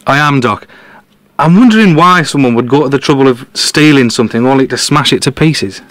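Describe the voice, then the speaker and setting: A man's voice: mid-range voice, fairly quick, fairly bright tone, no echo, natural voice. A man playing a down-to-earth, matey local detective guesting on a podcast. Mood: pondering, casual. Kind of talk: conversational audio drama acting.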